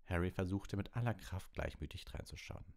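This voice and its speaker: A man's voice: deep voice, moderate pace, darkish tone. A man reading a children's fantasy novel aloud as an audiobook storyteller. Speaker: audiobook reader.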